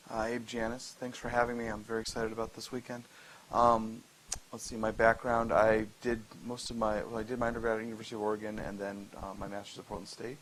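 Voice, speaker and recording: A man's voice: lowish voice, flat delivery, fast, a bit bright, low-quality recording. A man in his forties, an industry scientist, introducing himself at a panel discussion. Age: 40s. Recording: faint hiss and noise.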